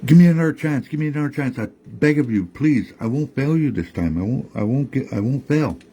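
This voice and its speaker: A man voicing a cheerful, clueless male tree spirit in a high, raised voice. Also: animated, a little fast, warm tone, husky. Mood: pleading. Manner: high, breezy, then pleading.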